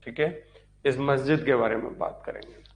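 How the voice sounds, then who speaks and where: Male exam-prep history lecturer, medium pitch, dictates notes didactically and restates each point with quick questions. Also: vocal fry. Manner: didactic, repeats points and asks rhetorical check questions. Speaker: history teacher for exam preparation.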